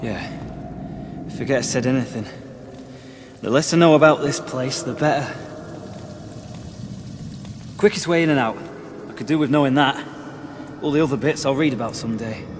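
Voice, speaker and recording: A man's voice: medium pitch, moderate pace, long pauses, background noise, natural voice. An actor playing a reluctant young male hero in a video game, casual and wry, often talking to himself. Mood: nervous grumbling. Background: game music.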